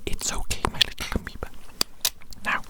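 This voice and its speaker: An eccentric evil doctor, acted out by an ASMR performer, speaks entirely in a breathy whisper. The delivery dotes on a tiny specimen, murmuring and repeating phrases in a medical roleplay. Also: fairly quick, natural voice. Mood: intent, soothing.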